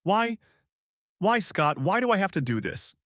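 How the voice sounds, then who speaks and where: A man's voice: medium pitch, very animated, fairly quick, a bit bright, dry recording, studio quality, voice pushed up. A man voicing a distraught, overdosing young wife who pleads and sobs. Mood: anguished. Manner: sobbing, pleading, manic.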